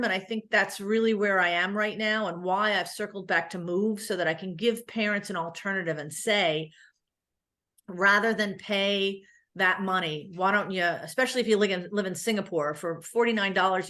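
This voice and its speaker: A middle-aged woman answering questions as a conference speaker in a conversational, animated, anecdotal way. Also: medium pitch. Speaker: guest speaker, physical therapist.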